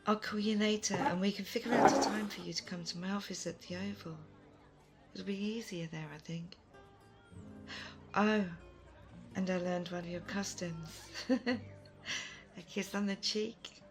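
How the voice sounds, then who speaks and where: A woman playing a thoughtful, kind, self-doubting leader in an audio roleplay, soft-spoken, hesitant and gently earnest. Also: lowish voice, steady tone, takes it slowly, long pauses, soft background music. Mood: shy, warm. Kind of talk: immersive audio roleplay addressed to the listener.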